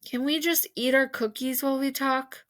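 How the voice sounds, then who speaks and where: A woman's voice: high voice, steady tone, normal speed, a bit bright. A woman reading a storybook, playing cheeky, curious young schoolboys who ask questions with mild indignation. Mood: indignant, cheeky. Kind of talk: storybook read-aloud character voice.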